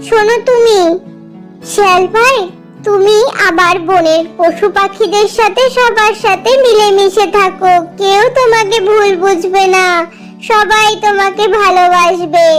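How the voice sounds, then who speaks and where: A woman voicing a kind, earnest young mother bird in a children's cartoon, speaking gently and instructively. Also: extremely high pitch, steady tone, unhurried. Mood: gentle, reassuring. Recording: music under the voice.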